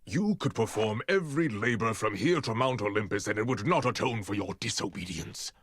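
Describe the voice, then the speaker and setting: An actor playing a stern, authoritative older Spartan commander, speaking formally and deliberately in a video game drama. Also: male voice, lowish voice, expressive, a bit bright, slight background noise.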